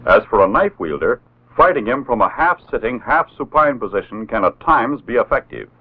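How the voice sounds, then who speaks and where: An American man narrating a 1960s instructional film script in a formal, authoritative, measured way. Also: medium pitch, very animated. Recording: tinny narrow-band old film soundtrack.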